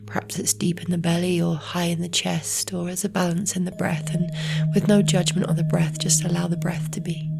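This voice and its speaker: A woman guiding a relaxation meditation in a soft, breathy, near-whispered voice, slowly and soothingly with long pauses. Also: lowish voice, level tone. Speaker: yoga nidra meditation guide. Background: meditation music with singing bowls.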